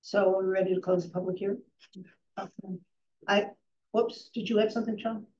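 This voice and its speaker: A middle-aged woman chairing a town zoning board, reading out motions slowly and carefully in a formal tone. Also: mid-range voice, steady tone, warm tone. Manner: measured, reads legal text slowly and carefully. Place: town hall meeting room. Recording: distant, dull echoey public-access meeting audio.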